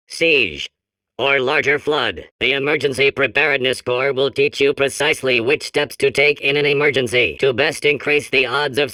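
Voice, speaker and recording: A man's voice: medium pitch, pushed and bright, no echo, lightly acted, poor audio. A man voicing an officious but cowardly emergency robot in a comedy game, announcing formally and anxiously. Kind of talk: comedic video game character acting.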